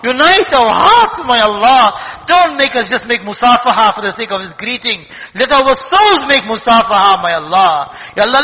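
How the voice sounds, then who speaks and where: A man prays aloud before a congregation in a large mosque, his voice high and husky. He swings between fervent cries and soft murmurs, ending each plea with the same refrain. The recording is dull and carries a long reverb. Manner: repeats a refrain after each plea, mixing in Arabic and Urdu terms; pleading, rising to fervent cries then dropping soft. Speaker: imam leading a congregation in prayer. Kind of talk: impassioned supplication.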